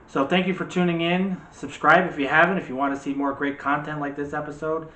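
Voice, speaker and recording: A man's voice: on the high side, fairly even intonation, brisk, sharp tone. A man co-hosting a movie discussion in a casual, joking, conversational way. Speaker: co-host of a movie discussion show. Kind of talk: casual chat about movies. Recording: roomy echo with light background noise.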